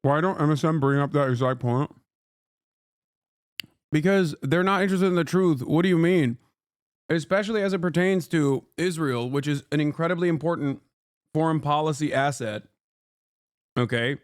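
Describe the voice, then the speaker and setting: A man's voice: fairly high pitch, moderate pace, long pauses, dry recording, studio quality. A man doing casual live political reaction commentary as a streamer, sardonic and fond of rhetorical questions.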